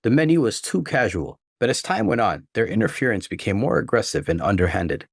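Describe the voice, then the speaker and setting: Deep-voiced man narrating a story, lively intonation. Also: a little fast, gravelly, no room sound, studio quality. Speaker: narrator reading online stories. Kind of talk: scripted first-person story narration.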